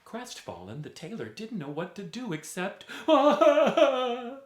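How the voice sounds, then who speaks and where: A man's voice: on the high side, huge pitch swings, roomy sound. A man telling a folk tale as a theatrical storyteller, dramatic and rhythmic, drawing out repeated phrases. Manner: dramatic, rhythmic with drawn-out repetitions.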